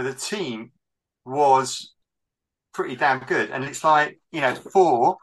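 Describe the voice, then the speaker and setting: A man arguing a football point in a casual chat, animated and combative. His voice has a bright, forceful edge to it. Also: medium pitch, rough recording. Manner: animated, argumentative.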